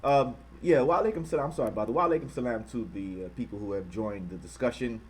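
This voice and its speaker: An American man hosting a live-streamed commentary, passionately hammering repeated rhetorical questions and pausing to thank viewers. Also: medium pitch, a little hiss or hum. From United States. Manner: impassioned, repetitive rhetorical questions. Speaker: online channel host.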